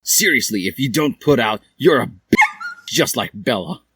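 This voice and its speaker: A man voicing a sulky, self-important teenage boy with a smooth-talking, flat manner in a story reading. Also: mid-range voice, measured pace, no room sound, voice pushed up, full character voice.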